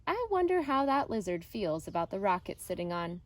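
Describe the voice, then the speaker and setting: A woman voicing a gentle, patient, wise old man in a storybook, plain-spoken and reflective. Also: mid-range voice, big pitch swings, normal speed, no echo, close to an everyday voice. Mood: gentle wonder.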